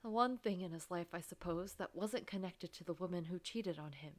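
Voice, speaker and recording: A woman voicing an anxious, guilt-ridden office worker who narrates a story game in a dramatic, wounded, halting way. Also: fairly low pitch, natural voice. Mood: miserable.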